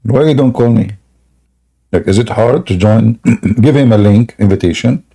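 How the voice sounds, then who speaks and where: Gravelly hoarse man mocking, laughing. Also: lowish voice, normal speed, dark tone. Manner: scoffing, laughs often. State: sore throat, clears throat often. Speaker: livestream debate host. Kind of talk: mocking live debate chat.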